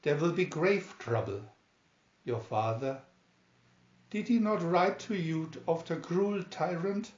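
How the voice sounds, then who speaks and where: A friendly, knowing ship's officer from a storybook, read by a man. He speaks gravely in slow, measured, declamatory phrases. The recording is roomy and slightly dull. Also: a little high, animated, a little mellow, voice pushed up. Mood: grave, warning.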